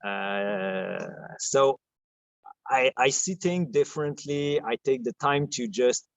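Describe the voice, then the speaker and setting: A man with a French Canadian accent, a podcast guest talking in a soft, hesitant way, full of restarts and fillers. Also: mid-range voice, slow pace, dry recording. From Quebec, Canada. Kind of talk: casual interview chat.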